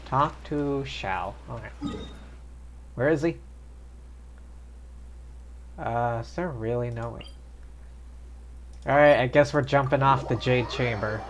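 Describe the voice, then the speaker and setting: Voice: mid-range voice, slow, long pauses, slight background noise. A streamer chatting casually and thinking aloud while playing a game live. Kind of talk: casual live gameplay commentary.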